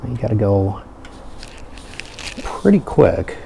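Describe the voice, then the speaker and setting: A man giving a casual hands-on product review, explaining things step by step in an unhurried way. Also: lowish voice, big pitch swings, vocal fry, mellow, a little hiss or hum, poor audio.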